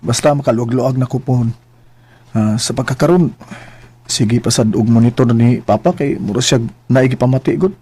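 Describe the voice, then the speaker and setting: A man's voice: mid-range voice, normal speed, warm tone, breathy edge, lightly acted. A man playing a young, earnest son and boyfriend in an amateur radio drama, often apologizing. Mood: apologetic.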